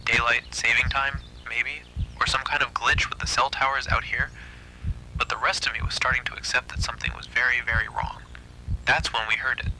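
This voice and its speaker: A man's voice: mid-range voice, flat delivery, fairly quick, sharp tone, a little hiss or hum, low-quality recording. A man narrating a scripted first-person horror story.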